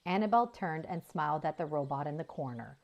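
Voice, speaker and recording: A woman's voice: low-pitched, lively intonation, fast talker, creaky, darkish tone. A woman narrating a children's book as a scripted read-aloud. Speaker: storybook narrator.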